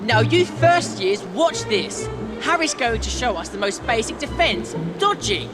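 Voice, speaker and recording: A woman's voice: fairly high pitch, sing-song, a little slow, over music. A woman playing an eager, bossy student club organiser in a game, emphatic and commanding. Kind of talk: announcing and instructing, game cutscene.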